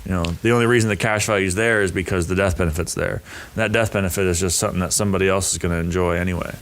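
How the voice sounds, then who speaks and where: A man's voice: low-pitched, steady tone, rapid-fire, a bit bright. A man co-hosting a podcast, explaining ideas as he thinks them through, often restarting his phrases. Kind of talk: casual podcast conversation.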